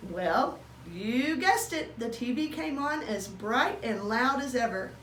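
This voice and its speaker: Animated, high-voiced woman reading a storybook. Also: slow pace. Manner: expressive, acts out dialogue. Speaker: teacher reading a children's book aloud. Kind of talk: animated children's storybook narration. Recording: roomy, distant mic, faint background noise.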